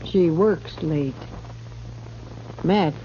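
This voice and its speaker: A woman's voice: low-pitched, extremely slow. An American actress playing a worldly, wry saloon woman in a radio western, matter-of-fact and clipped. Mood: guarded, businesslike. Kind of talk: 1950s radio western acting. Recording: muffled, hissy old radio recording.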